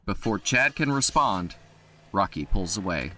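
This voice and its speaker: A man narrating an audio drama with expressive storytelling. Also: sits low, measured pace.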